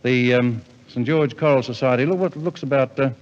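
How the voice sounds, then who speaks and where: A man's voice: medium pitch, brisk, long reverb tail. A man hosting a live 1950s radio variety show with effusive showmanship, on an old broadcast recording. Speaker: radio host and compere. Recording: dull 1950s radio recording, music and crowd.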